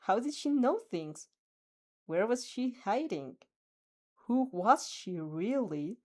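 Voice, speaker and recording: A woman's voice: on the high side, mellow. A young woman reading a fanfiction aloud and chatting casually with viewers in a giggly, rambling, easily flustered way. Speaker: fanfic reader and streamer. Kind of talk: reaction reading and casual chat.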